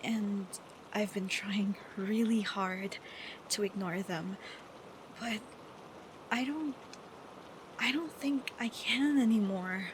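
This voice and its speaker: A young woman acting an affectionate, teasing best friend who is nervously smitten, in a soft, hesitant, intimate roleplay style with rain behind her. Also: medium pitch, takes it slowly, long pauses, background noise, natural voice. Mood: shy, confessional. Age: young adult. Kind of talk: intimate roleplay audio acting, girlfriend-experience monologue. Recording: steady rain sound effects behind voice.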